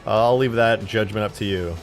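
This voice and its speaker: A man's voice: fairly low pitch, brisk, faint music behind. Rambling twenty-something man streaming. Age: 20s. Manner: rambling, joking, swears freely. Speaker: streamer and game modder. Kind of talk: casual live-stream chat.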